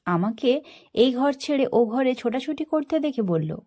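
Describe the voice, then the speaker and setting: High-pitched woman narrating a story, very lively. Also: measured pace. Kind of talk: dramatic first-person story narration.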